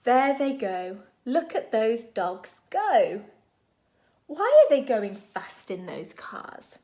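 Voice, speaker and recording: A woman reading a picture book aloud to young children in an expressive, deliberate storytime style. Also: fairly high pitch. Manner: expressive, animated, deliberate phrasing for small children.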